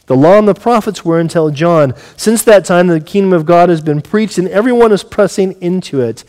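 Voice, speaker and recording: A man in his forties delivers a sermon in a fairly high voice for a male speaker. He sounds like an earnest pastor who talks conversationally and often puts questions straight to his listeners. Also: a little fast, a little mellow. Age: 40s.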